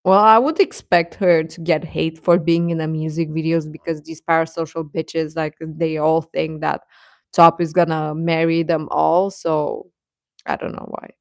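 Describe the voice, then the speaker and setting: A woman chatting casually as host of a live stream, rambling and halting, with a sarcastic streak. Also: deep voice, steady tone, dry recording, studio quality. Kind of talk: casual live-stream chat about pop fandom.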